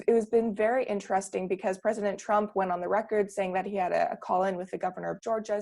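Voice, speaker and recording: A woman's voice: medium pitch, gravelly, a little mellow. A woman from Canada speaking on a panel, earnest and opinionated, often restarting her sentences. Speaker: panelist, political campaigner. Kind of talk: casual discussion.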